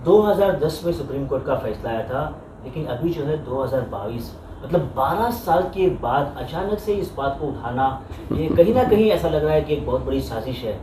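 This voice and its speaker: A man's voice: on the high side, expressive, moderate pace. A man working as a reporter, putting a question in an interview. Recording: distant, echoey, noisy location mic.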